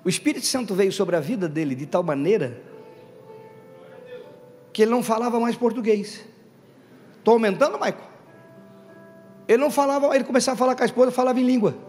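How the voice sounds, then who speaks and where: A pastor with a notably high voice delivers an emphatic sermon, calling out to his church and pushing key words into loud bursts. The sound carries a long hall reverb, and faint music plays behind him. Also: fast talker, pauses often. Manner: emphatic, calls out to the congregation; builds to loud stressed bursts. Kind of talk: Pentecostal sermon.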